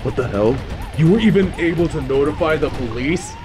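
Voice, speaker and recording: A man's voice: fairly high pitch, lively intonation, brisk, close to an everyday voice. A man playing an indignant villain in an audio drama, sputtering and incredulous, with music behind the voice. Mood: outraged. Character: indignant, flustered male villain caught by a heroine. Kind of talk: audio roleplay drama acting.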